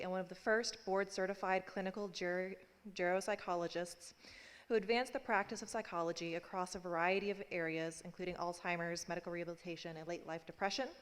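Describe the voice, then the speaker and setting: A woman, a postdoctoral researcher, reading a formal introduction of a speaker at a conference. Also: mid-range voice. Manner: reads a prepared bio steadily. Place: conference hall. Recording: long hall reverb.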